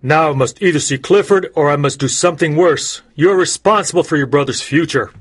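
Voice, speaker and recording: A male audiobook narrator playing a greedy old judge who turns from false friendliness to threats, in a husky voice. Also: mid-range voice, expressive, normal speed. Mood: menacing. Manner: smooth, menacing. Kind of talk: audiobook character voice.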